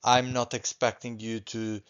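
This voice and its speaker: Middle-aged Portuguese man with an accent, patient beginners' teacher, mid pitch, slightly dull lo-fi sound. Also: flat delivery, a little slow, smooth. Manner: patient, reassuring, repeats points, often adds okay. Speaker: language teacher. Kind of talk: online language lesson.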